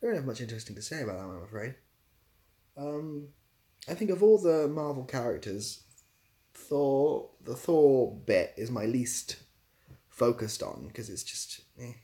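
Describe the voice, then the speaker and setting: A man's voice: medium pitch, lively intonation, moderate pace, warm tone. A man chatting casually on a livestream, reading out questions and answering them in a rambling, self-deprecating way. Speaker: live streamer answering chat questions.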